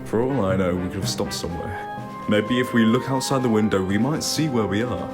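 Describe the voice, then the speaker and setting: Man playing a low-voiced everyman passenger. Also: fairly quick, over music, close to an everyday voice. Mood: steady, concerned. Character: Marcus, calm everyman airline passenger taking charge. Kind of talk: audio drama dialogue.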